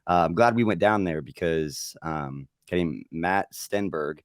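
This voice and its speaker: Casual sports podcast host, male, deep and hoarse, quick rambling delivery. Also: dry recording, clean studio sound. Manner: rambling, chatty with filler words. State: losing his voice.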